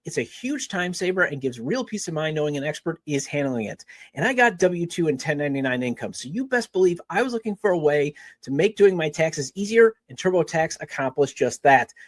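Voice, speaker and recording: A man with a notably high voice reads scripted podcast promos. He speaks as the show's host, in a dry recording with little room sound. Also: lively intonation, brisk, no echo.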